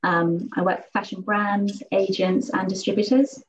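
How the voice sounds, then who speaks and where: A woman's voice: medium pitch, flat delivery, normal speed. A woman lawyer from the UK introducing herself steadily on a video call panel. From United Kingdom. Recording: dull video call audio, faint noise.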